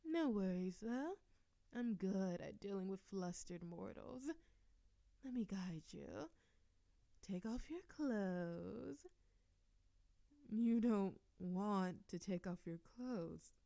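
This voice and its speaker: A woman's voice: mid-range voice, expressive, takes it slowly, gravelly, pauses often, warm tone, no echo, studio quality. A woman voicing a playful, seductive immortal succubus demon princess in an audio roleplay, teasing and giggly with a sultry drawl. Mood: boastful, flirty. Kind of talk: scripted audio roleplay acting.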